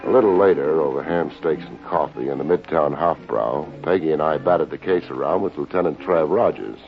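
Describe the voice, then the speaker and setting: An actor playing a cocky, hardboiled private detective in an old-time radio drama, narrating and bantering in wry slang. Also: male voice, a bass voice, brisk, vocal fry. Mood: wry narration. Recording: muffled old radio recording with music.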